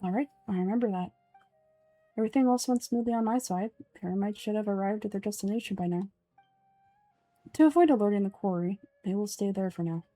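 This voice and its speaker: A woman's voice: medium pitch, fairly quick, dark tone, no room sound, faint music behind. A woman who is an amateur voice actor, performing a reading of video game dialogue.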